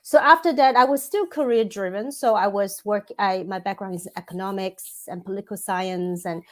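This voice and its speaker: A woman's voice: medium pitch, normal speed. A woman, a coach giving thoughtful interview answers that she builds up in lists, with frequent restarts. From Hong Kong. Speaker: guest, women's coach and author.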